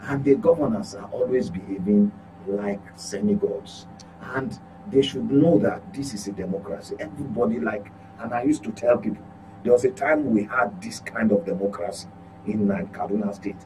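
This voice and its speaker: A man's voice: fairly low pitch, normal speed, background noise. A Nigerian man speaking as a guest commentator in a political discussion, emphatic and repeating phrases for stress.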